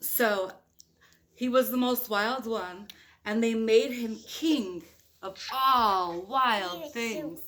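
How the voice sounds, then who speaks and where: A woman's voice: fairly high pitch, drawn-out words, fairly bright tone. A woman reading a picture book aloud in a playful, chatty way, with casual asides to young children. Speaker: storybook reader.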